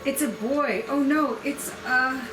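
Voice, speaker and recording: A high-pitched, urgent midwife, matronly and in her thirties acted by a woman at a table read. Her voice rings in an echoey room over clear background music. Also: slow pace. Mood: alarmed.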